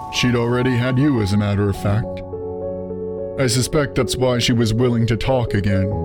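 A man's voice: mid-range voice, lightly acted. Man narrating as solemn storyteller over music. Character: reflective male detective recounting a grim past. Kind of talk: scripted narration.

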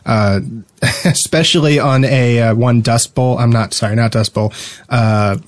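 A man's voice: mid-range voice, steady tone, unhurried. A man co-hosting a casual gaming podcast, chatting in a hesitant, rambling, conversational way. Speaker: podcast co-host.

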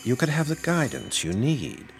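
An American actor playing a middle-aged, paternal, insinuating pastor in an audio drama, measured and preachy. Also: male voice, medium pitch, very animated, faint music behind, natural voice. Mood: coaxing. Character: paternal, insinuating small-town pastor with grown daughters.

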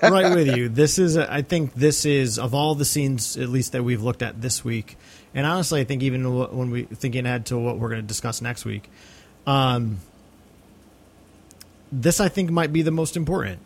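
An American man co-hosting a podcast, talking casually and reflectively about film, effusive and often halting to repeat phrases. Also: medium pitch. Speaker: podcast co-host.

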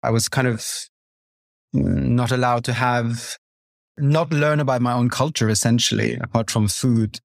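A man from Norway, a guest designer, gives a personal interview answer in a thoughtful, halting way. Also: mid-range voice, fairly even intonation, vocal fry, dry recording, studio quality.

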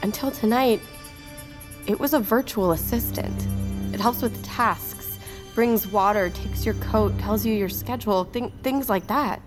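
An actress playing a defensive woman who answers haltingly in an audio fiction drama. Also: mid-range voice, over music, lightly acted. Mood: shaken, defensive. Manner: halting, faltering. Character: hesitant, defensive woman under questioning.

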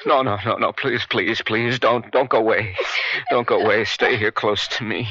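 A drunken newsman full of self-loathing in a radio drama. The voice is fairly low and forceful, with a blunt, stumbling delivery. The recording is dry and slightly muffled. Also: moderate pace. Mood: remorseful, pleading. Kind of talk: 1970s radio drama acting. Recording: dull vintage radio broadcast recording.

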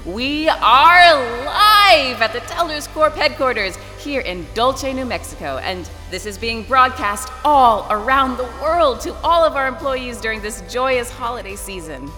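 A harried woman emceeing a corporate holiday broadcast, bright and bouncing all over her range as she trades curses with the crew. Her voice rings with a long hall reverb, with music under it. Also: high voice, animated, a little slow, few pauses, heavily acted. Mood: nervous formal. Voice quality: profane, exasperated, bickers. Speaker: corporate event emcee named Grace. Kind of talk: audio drama acting.

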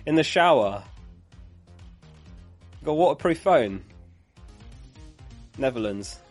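A man's voice: medium pitch, animated, takes it slowly, faint music behind. A man who is losing his voice, hosting a live fantasy football stream in a chatty way, reading out viewer comments and laughing along.

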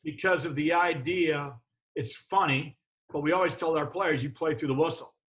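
A man's voice: fairly high pitch, moderate pace, fairly bright tone. A middle-aged man, a basketball coach, talking practice in casual chat, emphatic and often restarting his sentences. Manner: emphatic, repeats words while restarting sentences.

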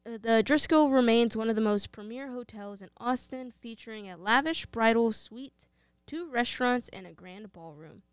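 A woman's voice: on the high side, moderate pace, clean tone, dry recording. A woman with a Texas twang, co-hosting a podcast, moving between casual chat and reading out research notes. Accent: American, Texas twang. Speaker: podcast co-host.

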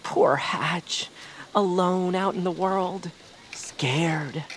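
A woman's voice: lowish voice, extremely slow, a little hiss or hum. A woman playing an anxious, grumpy but kind-hearted young male bird creature in an audio drama, earnest and fretful. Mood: remorseful. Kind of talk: audio fiction acting.

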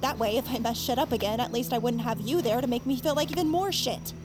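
A woman's voice: on the high side, fast talker, over music, close to an everyday voice. A young woman playing a headstrong, defensive girl in an audio drama, with a blunt, defiant, sarcastic delivery. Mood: bitter, resolute. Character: headstrong, defensive girl plotting to fight monsters alone.

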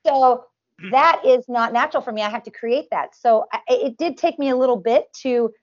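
A woman's voice: a little high, normal speed, lo-fi sound. A woman with a nasal voice, a performer talking casually in an interview, full of restarts and fillers. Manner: talks in long runs with many restarts and fillers. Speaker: tribute performer guest.

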